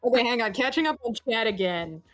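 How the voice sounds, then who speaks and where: A woman's voice: fairly high pitch, lively intonation, fast talker, a bit bright, soft background music, rough recording. A woman co-hosting a livestream, playfully teasing and reading viewer comments aloud. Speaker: co-host reading chat. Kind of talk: casual livestream chat.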